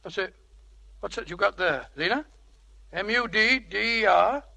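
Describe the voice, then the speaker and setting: An actor playing an amiable, childlike upper-class English gentleman who stammers and blusters, in a 1940s radio drama. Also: sits high, a little slow, exaggerated voice. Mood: puzzled, genial. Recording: vintage radio broadcast with rough audio.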